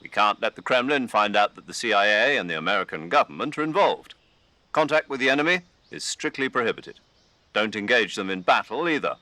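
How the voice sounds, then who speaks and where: An actor playing an authoritative army major in a video game, giving formal, emphatic mission briefings. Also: male voice, fairly low pitch, animated, brisk, bright, forceful tone, no room sound. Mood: stern, urgent. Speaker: commanding officer.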